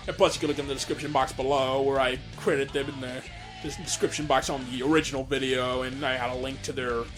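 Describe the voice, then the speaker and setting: A man giving casual, excited director's commentary, stammering and repeating words as he goes. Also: mid-range voice, fast talker, over music. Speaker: comic dub director giving audio commentary.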